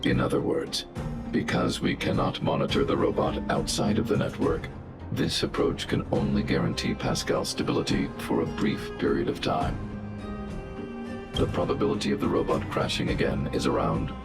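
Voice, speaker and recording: An actor playing a huge, calm male robot overseer who speaks in a precise, mechanical monotone, in a game cutscene. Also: extremely low pitch, normal speed, creaky, exaggerated voice. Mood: measured. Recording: studio dub with music underneath.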